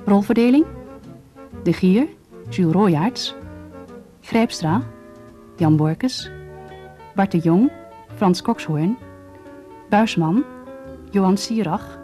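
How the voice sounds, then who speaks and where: A woman announcer reading scripted radio drama credits in a formal, measured manner. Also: medium pitch, expressive, mellow, faint music behind.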